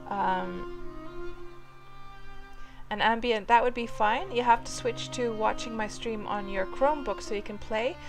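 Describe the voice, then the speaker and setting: A woman's voice: a little high, a little slow, long pauses. A woman, live-streaming a game and chatting loosely with viewers, rambling with lots of fillers. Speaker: game livestreamer. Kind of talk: casual live-stream chat while playing. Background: music playing under the voice.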